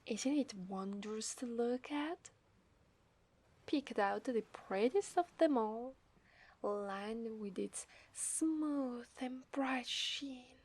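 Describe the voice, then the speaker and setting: A woman with an Italian accent voicing a coy, possessive mermaid in a soft, breathy ASMR roleplay. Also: a little high, extremely slow. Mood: tender, dreamy. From Italy. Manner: coy, teasing, breathy murmurs. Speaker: ASMR voice actress.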